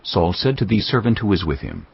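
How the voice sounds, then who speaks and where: A man narrating a dramatized Bible story in a measured, scripted storytelling style. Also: lowish voice, wildly swooping pitch, vocal fry, breathy edge. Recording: slightly dull sound.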